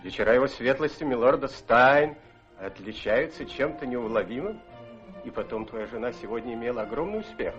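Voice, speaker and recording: An actor playing a lively, sociable society gentleman in a radio play, with an effusive, curious manner. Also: medium pitch, a little fast, natural voice. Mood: chatty. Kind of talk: Russian radio play acting. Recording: dull recording, faint music and crowd.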